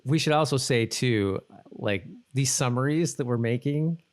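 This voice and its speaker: A man hosting a podcast in casual chat, talking in a halting, rambling way and often correcting himself. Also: mid-range voice. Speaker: podcast host.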